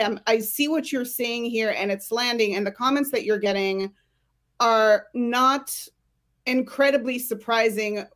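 A woman speaking as a career coach and panel guest, explaining her advice conversationally and emphatically. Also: on the high side.

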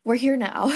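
A young woman from Vietnam, a model and podcast guest, telling her story in a relaxed way with many ums. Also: sits high, breathy edge. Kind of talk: casual interview chat.

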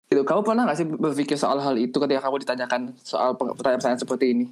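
Fast-talking man asking rambling questions. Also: mid-range voice, a little mellow. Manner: long rambling questions, frequent short backchannels. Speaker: interviewer. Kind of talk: casual interview chat.

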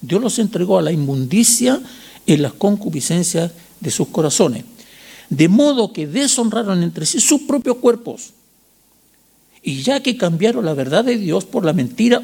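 Man in his 60s, Chilean accent, higher-pitched gravelly voice, didactic sermon delivery, emphatic repetition. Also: darkish tone. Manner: didactic, emphatic repetition, rhetorical check questions. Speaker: preacher. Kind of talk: sermon with Bible reading and commentary.

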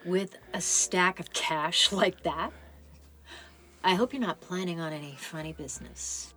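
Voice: medium pitch, a little slow, sharp tone. A performer playing a mocking, menacing underworld card-game boss in an audio drama. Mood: mocking menace.

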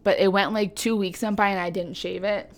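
A woman's voice: medium pitch, fairly even intonation, a little fast. A young adult woman co-hosting a casual chat, rambling with many fillers and poking fun at herself. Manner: self-mocking, playful. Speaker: podcast co-host.

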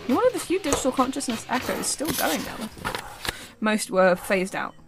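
A woman's voice: a little high, big pitch swings, normal speed. A woman playing a guarded, dryly teasing staffer at a secret facility in an audio drama, with casual, clipped delivery. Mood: dry, businesslike. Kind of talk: audio fiction acting.

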